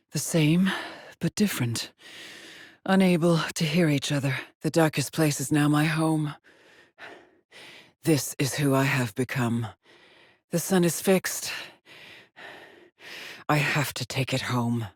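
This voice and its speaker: An actress voicing a weary, driven middle-aged woman exploring space in a video game, with a husky, clipped and solemn delivery. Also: sits low, no echo, studio quality, heavily acted. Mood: haunted, brooding. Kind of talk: dramatic game voice lines, terse log entries.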